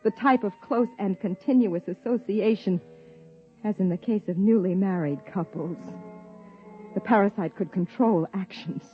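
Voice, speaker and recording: An actress playing a young wife and biologist who uncovers a dark secret, acting in a 1950s radio drama, urgent in argument and numb when narrating. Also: female voice, mid-range voice, moderate pace, faint music behind, natural voice. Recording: dull lo-fi 1950s radio recording.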